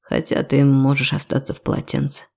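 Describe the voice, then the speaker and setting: A woman voicing a self-assured, quietly commanding male lover in a romance audiobook, measured and teasing. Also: very deep voice, monotone, gravelly, exaggerated voice.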